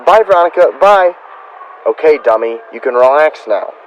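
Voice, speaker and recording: A man's voice: on the high side, expressive, moderate pace, a little hiss or hum, lightly acted. A man playing a droll, sly teenage boy sidekick in an old-time radio comedy style. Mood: evasive, wry. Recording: dull, band-limited sound.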